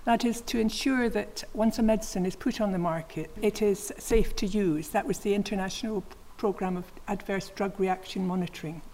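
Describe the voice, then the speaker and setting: Measured retired woman in her 60s, faint hum. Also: mid-range voice, warm tone, poor audio. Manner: measured, plain explaining. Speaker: retired health official on a panel. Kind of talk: explanatory panel talk.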